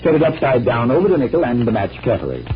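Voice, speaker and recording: A man playing a calm, assured magician detective, measured and instructive, in old-time radio drama style. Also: medium pitch, music in the background, natural voice. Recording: muffled vintage radio recording.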